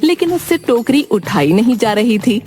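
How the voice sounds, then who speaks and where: A woman's voice: fairly high pitch, very animated, normal speed, dark tone. A woman narrating a scripted children's story as the storyteller. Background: background music.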